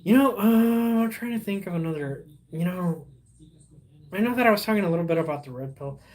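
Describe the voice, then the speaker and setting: A young man, a Spanish speaker, hosting a casual livestream and chatting with viewers in a rambling, halting way full of fillers and restarts. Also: high voice, lively intonation, darkish tone. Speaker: livestream host.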